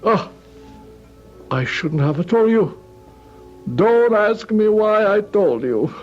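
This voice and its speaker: High-voiced, excitable old shopkeeper. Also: male voice, faint music behind, full character voice. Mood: eager, confiding. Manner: effusive, halting, repeats himself, pleading. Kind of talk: old-time radio drama acting. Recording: muffled vintage radio drama recording.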